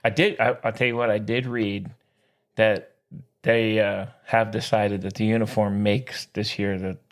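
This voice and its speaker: An American man co-hosting a sports podcast in casual, slangy, joking banter, boisterous and quick to laugh. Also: lowish voice, little pitch movement.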